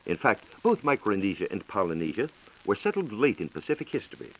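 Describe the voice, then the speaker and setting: A man's voice: fairly low pitch, big pitch swings, a little fast, fairly bright tone, slightly rough, low-quality recording. A man with an American accent giving a formal, explanatory scripted science talk on 1940s radio. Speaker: science reporter.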